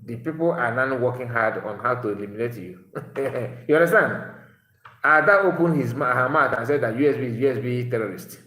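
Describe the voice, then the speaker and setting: A man's voice: medium pitch, a little fast, a bit bright. A man, an Igbo speaker, hosting a live call-in stream and talking emphatically. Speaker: livestream host. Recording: roomy room sound, faint noise.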